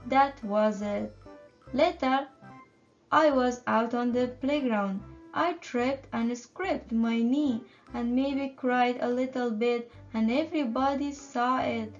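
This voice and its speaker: A woman with a high voice reads a children's picture book aloud in an expressive story-time style. The recording is slightly muffled, and music plays behind her narration. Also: takes it slowly. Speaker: children's story time reader and channel host. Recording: muffled audio under music.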